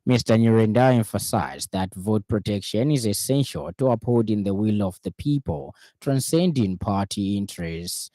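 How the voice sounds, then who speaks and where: A man with a Zambian accent anchors a radio news bulletin. His voice is low and dark, with a relaxed tone and very little room sound. He reads the script formally, in measured announcer phrasing. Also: no echo.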